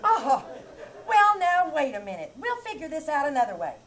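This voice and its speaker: A woman's voice: high voice, wildly swooping pitch, normal speed, a bit bright, exaggerated voice. A woman playing a scatterbrained, cheerful wife who mangles her words in a live 1940s-style radio comedy. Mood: chirpy. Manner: chirpy malaprop-prone chatter. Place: theater stage.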